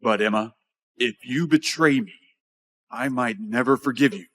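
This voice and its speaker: A man playing a charming, calm billionaire husband in a romantic audio drama, gentle and reassuring yet possessive. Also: mid-range voice, unhurried, no room sound. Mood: intimate, warning. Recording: music bed under the voice.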